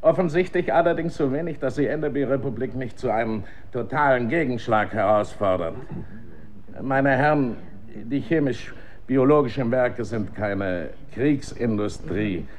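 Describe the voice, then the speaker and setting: An actor playing an authoritative director of a research works in a German radio drama, speaking formally and rhetorically in a measured address. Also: male voice, mid-range voice, animated, a little hiss or hum, lightly acted. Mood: grave.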